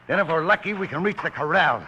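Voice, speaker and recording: A sardonic frontier outlaw, with a fairly high voice. He talks shrewd, scornful cowboy slang in vintage radio drama style over clear noise. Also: lively intonation, quick pace, sharp tone, highs cut off. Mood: tense, hushed. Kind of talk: 1950s radio western acting. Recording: noisy old radio recording.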